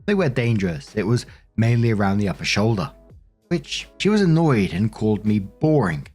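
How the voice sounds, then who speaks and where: Male narrator, lowish voice, chatty story-reading host with casual asides, music underneath. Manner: chatty, conversational. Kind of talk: reading online stories aloud with casual commentary.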